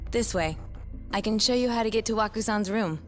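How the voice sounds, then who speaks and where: An actress in an English game dub playing a polite, reserved young medical professional who explains things in a professional manner. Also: female voice, medium pitch, a little fast, soft background music, lightly acted.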